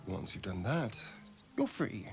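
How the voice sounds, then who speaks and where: A male actor playing a sardonic, centuries-old vampire spawn in a video game, with an arch, dramatic delivery that swings from mocking to hushed. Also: on the low side, big pitch swings, a little slow, over music. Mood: sly, explanatory. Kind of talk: video game character dialogue.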